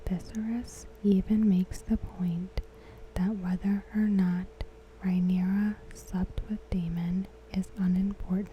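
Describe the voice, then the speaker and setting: A woman narrates in a soft, breathy ASMR whisper, taking her time over every phrase. Her tone is very dark and mellow, with a deliberate, hushed delivery. A faint background hiss sits behind the voice. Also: medium pitch, level tone, low-quality recording. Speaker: ASMR host.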